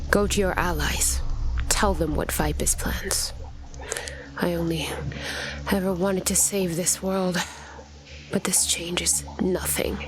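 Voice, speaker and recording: A woman acting as a coldly devoted villainess in a fantasy audio play. The voice is low and slow, half-whispered and solemn, over noisy ambience. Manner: solemn, deliberate. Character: solemn female villain, devoted to her beloved, coldly merciful.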